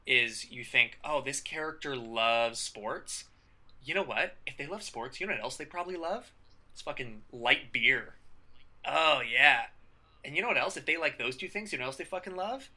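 A man co-hosting an improv podcast, chatting casually, riffing on examples with animated emphasis and the odd swear. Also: medium pitch, moderate pace. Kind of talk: casual instructional chat.

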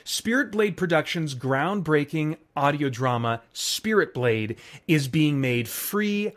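Casting: male solo podcast host, slightly high pitch, informal, speaking directly to the audience. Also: lively intonation, slow, a bit bright. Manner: informal, addresses listeners directly. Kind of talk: casual solo podcast talk.

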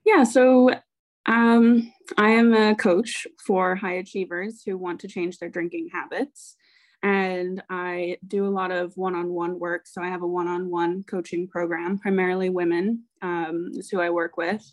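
American woman in her 30s, lowish voice, relaxed candid guest, laughs a lot. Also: a little slow, dry recording. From United States. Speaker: podcast guest, sobriety coach. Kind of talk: interview conversation.

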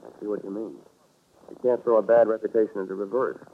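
A man's voice: lowish voice, steady tone, fast talker, close to an everyday voice. An American man playing a tough, sardonic private investigator in a 1940s radio drama, speaking in clipped, wry wisecracks. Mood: probing, steady. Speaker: lead actor and narrator. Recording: tinny, noisy old radio recording.

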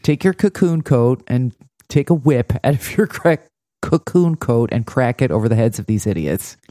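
Female podcast host, dark mellow tone, sardonic and joking, casual recap chat and announcements. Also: a bass voice, animated, normal speed, no echo, clean studio sound.

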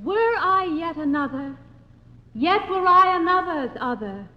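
A woman's voice: extremely high pitch, expressive, a little slow. A woman playing a petite, overwrought stage actress declaiming verse in a 1950s radio drama. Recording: echoey, noisy, dull 1950s radio recording.

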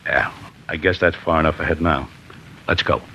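A wisecracking investigator sidekick. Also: male voice, extremely low pitch, fairly even intonation, normal speed, bright, forceful tone, heavily acted. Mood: joking. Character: good-humored male investigator sidekick. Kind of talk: 1950s radio drama acting. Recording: hissy 1950s radio broadcast.

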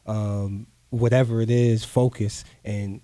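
A man speaks in a low, mellow voice. He talks earnestly and encouragingly, repeating words to drive his point home. The sound is dry and close to the mic. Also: level tone, slow pace, no echo. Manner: earnest, motivational, repeats for emphasis. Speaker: guest artist. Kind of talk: casual interview talk.

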